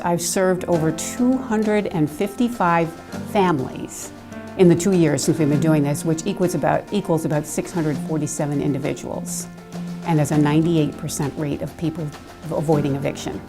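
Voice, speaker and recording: Older woman mediator: soft, gentle delivery, low voice, music under it. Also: steady tone, moderate pace. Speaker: eviction mediator. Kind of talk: interview in a produced video.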